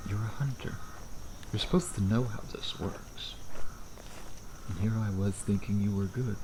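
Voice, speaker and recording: A low-voiced, sardonic male vampire, acted by a man for an ASMR roleplay. His delivery is gravelly and unhurried, teasing with a predatory edge. Night insects sound clearly behind him. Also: background noise. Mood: mocking. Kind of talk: ASMR roleplay, intimate scripted acting.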